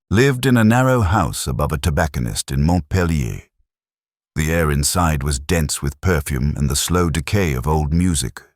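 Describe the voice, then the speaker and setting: A man with an extremely deep voice narrates a detective mystery in a measured, solemn way. His pitch moves expressively through each reflective phrase. The sound is close and dry. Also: no echo. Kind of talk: slow literary first-person mystery narration.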